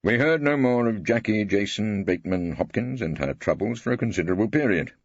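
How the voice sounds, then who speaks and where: A man performs an old English barrister telling his story for an audiobook. The voice sits low and husky, swooping with wry, pompous relish. The sound is slightly dull. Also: lively intonation, a little fast, dry recording. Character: weary, witty old English barrister, grumbling narrator. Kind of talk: scripted first-person audiobook narration.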